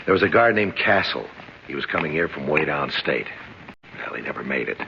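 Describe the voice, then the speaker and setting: A low-voiced, gravelly crook who taunts and orders people around with calm menace, his pitch swinging widely. It comes through a dull old radio broadcast with a steady hiss behind it. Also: fairly quick, full character voice. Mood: commanding. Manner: cool, taunting, commanding. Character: smooth menacing male crook posing as guard. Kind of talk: 1970s radio drama acting.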